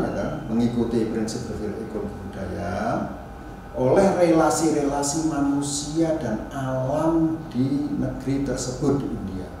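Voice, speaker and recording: A man giving an informal academic lecture as a university teacher, halting and restarting, often adding tag questions. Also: mid-range voice, dark tone. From Indonesia. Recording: very echoey room, distant mic, faint noise.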